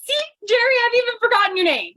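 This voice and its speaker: Bright, high-voiced scatterbrained girl reporter played by a woman. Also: very animated, fast talker, full character voice. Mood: flustered, wheedling. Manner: chattery, naive-sounding, theatrical. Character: plucky, scatterbrained, fast-thinking female reporter in danger. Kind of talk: old-time radio screwball drama acting.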